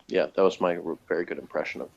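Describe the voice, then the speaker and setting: A man with a fairly low voice chats casually on a slightly muffled, lo-fi recording. He rambles informally and hesitates as he gives his opinions as a co-host of a review show. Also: steady tone, very fast, creaky, slight background noise.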